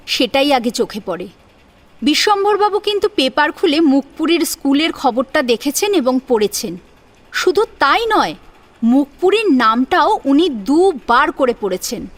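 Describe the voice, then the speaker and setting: A woman narrating a scripted audio story in an expressive, dramatic, rhetorical manner. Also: sits high. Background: music.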